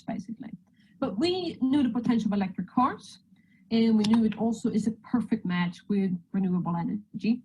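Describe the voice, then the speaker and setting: A woman's voice: mid-range voice, warm tone, a little hiss or hum, poor audio. Swedish-accented woman presenting over video call. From Sweden. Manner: friendly, joking, slides through a talk. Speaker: guest presenter. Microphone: video call microphone.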